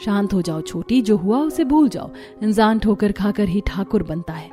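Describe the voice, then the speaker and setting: A woman voicing a calm, wise elder sister-in-law in a cartoon, soothing and measured. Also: mid-range voice, soft background music, voice pushed down, lightly acted.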